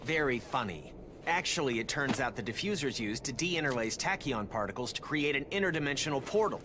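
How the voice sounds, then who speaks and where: An actor playing a brainy teenage turtle, earnestly explaining tech in a game cutscene. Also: male voice, medium pitch, lively intonation, brisk, noisy background, natural voice.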